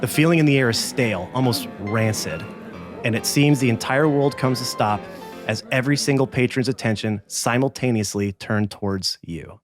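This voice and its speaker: A man narrating a tabletop role-playing game as game master, in a measured, descriptive way. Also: medium pitch, faint music behind.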